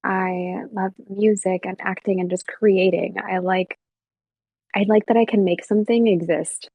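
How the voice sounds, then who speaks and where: A woman from Colombia, a content creator being interviewed softly, giggly and thoughtful. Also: mid-range voice, fairly even intonation, a little slow, no room sound, phone-quality sound. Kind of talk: soft-spoken interview answers.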